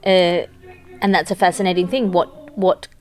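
A woman's voice: fairly low pitch, level tone, normal speed. A woman comedian chatting backstage, talking things through in a thoughtful, analytical way. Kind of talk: casual backstage chat. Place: backstage at a venue.

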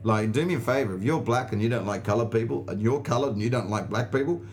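A man's voice: mid-range voice, quick pace. An Australian man, a stand-up comedian being interviewed, telling anecdotes casually in an emphatic, sweary way and acting out the dialogue. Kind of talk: animated anecdote in casual conversation.

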